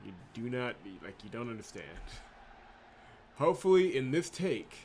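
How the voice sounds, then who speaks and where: A man's voice: medium pitch, big pitch swings, moderate pace, a little mellow, a little hiss or hum. A man hosting a video game playthrough, talking casually in an exasperated, grumbling manner. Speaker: host of a visual novel playthrough channel. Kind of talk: casual gameplay commentary.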